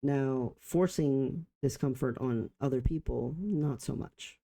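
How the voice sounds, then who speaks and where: A man of about forty talking reflectively in a personal vlog, halting in short phrases with pauses. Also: medium pitch, vocal fry, warm tone. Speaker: vlogger.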